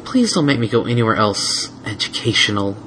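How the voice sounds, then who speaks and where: A man's voice: on the low side, expressive, fairly quick, a bit bright, slight background noise. A man voicing a sulky, grumbling baby boy dragon who whines and complains indignantly in a storybook reading. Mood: whining complaint.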